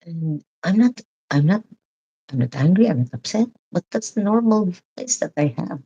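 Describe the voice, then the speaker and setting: Loud, talkative woman vlogger from the Philippines, dark husky voice, live-stream chat. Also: fairly low pitch, lively intonation, normal speed, lo-fi sound. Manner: loud, chatty, laughs often. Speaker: vlogger chatting with live viewers.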